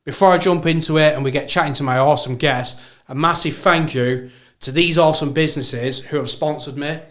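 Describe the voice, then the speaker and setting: A man's voice: fairly high pitch, a little fast, fairly bright tone. Upbeat podcast host man. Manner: upbeat, laughs often, enthusiastic. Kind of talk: casual interview chat.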